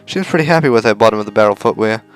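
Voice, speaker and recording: A man's voice: on the low side, lively intonation, very fast, clear, resonant tone, low-quality recording. A man reading a visual novel aloud as narration for a gaming video. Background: faint music.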